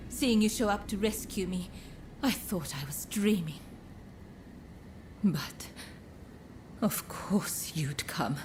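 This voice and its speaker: An actress playing an elegant, teasing courtesan in a video game cutscene, with refined, coquettish phrasing. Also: female voice, lowish voice, darkish tone, slight background noise. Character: elegant, coy, teasing courtesan woman with powerful connections.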